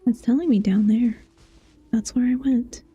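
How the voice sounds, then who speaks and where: Mellow, dark-toned, higher-pitched woman streamer. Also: fairly even intonation, moderate pace, breathy edge. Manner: rambling, thinking aloud. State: sleepy, yawning. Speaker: live streamer. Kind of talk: casual game stream chat.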